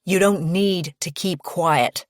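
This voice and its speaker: A woman's voice: rumbling low, normal speed, creaky, no echo, clean studio sound, voice pushed down, heavily acted. A woman voicing a curt, protective, angry young man in an audiobook, blunt and snapping.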